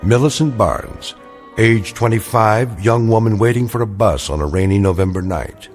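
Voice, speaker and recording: A grave actor as male narrator of an uncanny anthology tale. His voice sits low and moves expressively through measured, ominous lines, with soft music underneath. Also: close to an everyday voice. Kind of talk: dramatic scripted narration.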